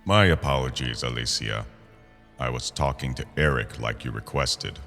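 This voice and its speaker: A man's voice: very deep voice, normal speed, vocal fry, fairly bright tone, heavily acted. A man playing a bitter, subservient hunter in an audio drama, speaking formally and deferentially to his leader. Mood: apologetic. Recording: long hall reverb. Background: eerie horror music.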